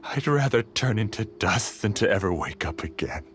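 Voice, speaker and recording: A man's voice: lowish voice, expressive, normal speed, close to an everyday voice. Man doing a despairing grieving man. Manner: despairing, heavy. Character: grieving man, hopeless and bitter. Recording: game audio with faint music.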